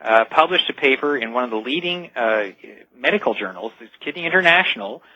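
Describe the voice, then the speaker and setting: Male radio interview guest, husky and emphatic, explains like a storyteller; dry, muffled lo-fi broadcast. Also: medium pitch, expressive, a little fast, no room sound. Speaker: guest expert and author. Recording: dull lo-fi radio sound.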